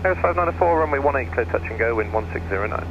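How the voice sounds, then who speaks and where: A man's voice: mid-range voice, lively intonation, quick pace. A man working as an air traffic controller, giving clipped instructions over aircraft radio. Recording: narrow radio channel, engine drone.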